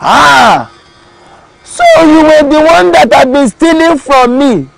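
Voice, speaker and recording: A man's voice: very high-pitched, lively intonation, slow pace, vocal fry, loud music behind the voice, voice pushed up, heavily acted, poor audio. A man voicing an indignant snail farmer. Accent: Nigerian English. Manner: exclaiming, questioning.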